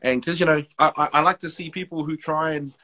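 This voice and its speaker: A man from Wellington with a New Zealand accent, telling stories in casual podcast chat, animated and full of restarts. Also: a little high, fast. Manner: animated storytelling, many restarts, slips into quoted voices. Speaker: guest, rapper and basketball MC.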